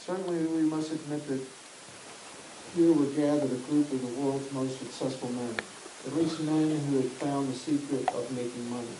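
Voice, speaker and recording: A man reading a text aloud in an even, steady way for another speaker. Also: mid-range voice, moderate pace, roomy sound, noisy background. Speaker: reader for the speaker.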